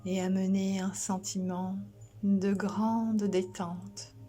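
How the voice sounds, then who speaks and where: A woman guiding a sleep relaxation as a yoga instructor, soothing and gentle, soft and breathy, often near a whisper, with long pauses. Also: medium pitch, flat delivery, soft background music.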